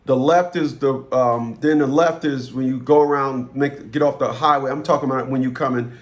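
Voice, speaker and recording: A man's voice: medium pitch, steady tone, normal speed. A man with an American accent hosting a call-in advice chat, blunt and profane, repeating himself and cutting in. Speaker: call-in advice show host. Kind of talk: informal phone consultation, casual chat.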